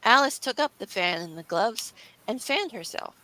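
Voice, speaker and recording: Female storybook narrator, scripted read: bright tone, dry recording. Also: a little high, expressive, normal speed, no room sound, rough recording.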